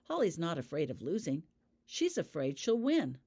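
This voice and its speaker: A woman voicing a brisk, encouraging student witch who coaches dueling, in an audiobook. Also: low voice, huge pitch swings, mellow, slightly rough, exaggerated voice. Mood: insistent.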